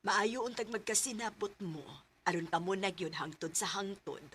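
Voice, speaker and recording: An actress playing a caring, meddling middle-aged mother with a husky voice and a Cebuano accent in a radio drama. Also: mid-range voice, moderate pace, heavy background noise, natural voice. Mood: approving. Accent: Cebuano Filipino. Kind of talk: Bisaya radio drama acting.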